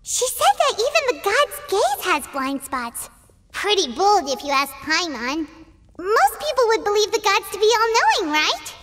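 A woman voicing a tiny, naive fairy companion in a video game, squeaky, childlike and cutesy. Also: big pitch swings, measured pace, fairly bright tone, exaggerated voice. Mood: curious. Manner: cutesy, refers to herself by name. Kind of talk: English video game dialogue acting. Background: game music.

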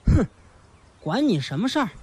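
An actor playing a hostile, scornful student bully in a radio drama. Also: male voice, sits high, sing-song, moderate pace, darkish tone, husky, full character voice.

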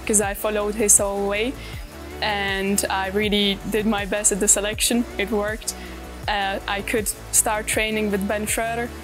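Even-toned young woman. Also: mid-range voice. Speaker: working rider at a stable. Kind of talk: documentary interview. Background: background music.

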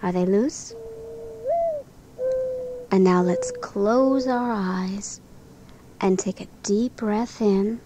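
Female narrator for children's guided relaxation and bedtime storytelling: gentle, very unhurried delivery, audible background noise. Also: mid-range voice, animated. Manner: gentle, soothing, unhurried instruction. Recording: clearly noisy recording with background ambience.

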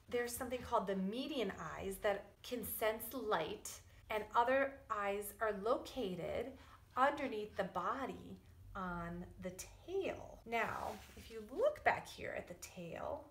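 The room echoes around a middle-aged woman with a mid-pitched voice. She speaks as a preschool teacher does to small children, deliberately and with clear emphasis. Her delivery suits both a simple science lesson and a picture book read aloud. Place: indoors in a wood-panelled room at home or school.

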